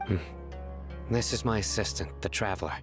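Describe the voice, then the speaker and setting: An actor playing a stern, commanding general in a game cutscene, curt and stoic with an authoritative manner. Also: male voice, lowish voice, moderate pace, natural voice. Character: stern, terse, commanding young male general. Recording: clean voice over background music.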